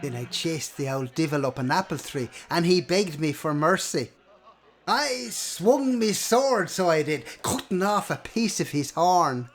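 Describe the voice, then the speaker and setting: An actor playing an old, drunken, boastful Irish farmer in a radio play, with a grizzled voice and theatrical manner. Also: male voice, fairly high pitch, lively intonation, measured pace, soft background music.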